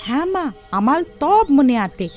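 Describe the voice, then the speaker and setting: Very squeaky little witch girl. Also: very animated, measured pace, soft background music. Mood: excited, eager. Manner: childish, babyish speech. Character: excitable little witch girl, childish daughter.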